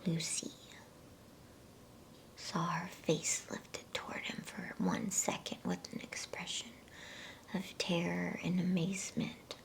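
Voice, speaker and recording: A woman softly whispering an ASMR bedtime story, reading in a slow, soothing manner with pauses between phrases. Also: lowish voice, very animated, gravelly, rough recording.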